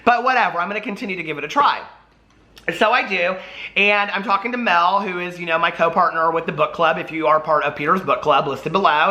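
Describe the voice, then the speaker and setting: Casting: American man, middle-aged book vlogger, sharp bright voice, animated and casual, prone to rambling asides. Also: a little high. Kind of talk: casual chatty vlog talk.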